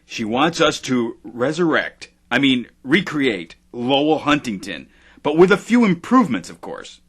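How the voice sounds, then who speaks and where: A man playing a self-satisfied genetic engineer in an audio drama, with a brisk, clipped manner. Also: mid-range voice, very animated, husky. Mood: brisk, pleased.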